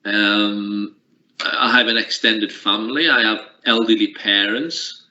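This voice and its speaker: A man's voice: on the low side, fairly even intonation, takes it slowly, bright, forceful tone. A middle-aged man, a sports coaching lecturer, answering interview questions in a reflective way with frequent restarts. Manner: reflective, uses many restarts and fillers. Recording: lo-fi roomy recording.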